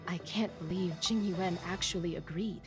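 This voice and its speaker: A woman's voice: fairly low pitch, moderate pace, close to an everyday voice. A woman playing a dutiful, world-weary military officer in a video game, explaining things matter-of-factly. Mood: grave, weary. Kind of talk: English video game dialogue. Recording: game audio with music behind.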